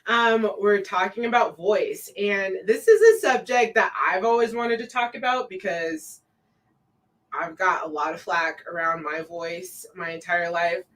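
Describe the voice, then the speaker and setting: A woman co-hosting a casual live stream, relaxed and loose with plenty of fillers, reading out viewer comments. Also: measured pace, recorded from a distance, rough recording. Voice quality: says her own voice sounds deep to herself.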